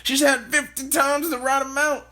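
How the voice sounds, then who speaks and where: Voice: high voice, level tone, normal speed, sharp tone, pitched above the performer's own voice. A storyteller voicing a sly, grinning husband who delights in the trouble. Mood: gleeful. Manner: sly, gleeful. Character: gleeful scheming husband, grinning. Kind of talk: storybook read-aloud.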